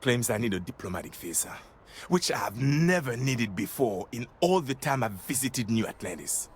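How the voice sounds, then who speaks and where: An actor playing a formal, flustered and exasperated diplomat in video game dialogue. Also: male voice, mid-range voice, animated, a little hiss or hum, close to an everyday voice. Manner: formal wording, exasperated, halting.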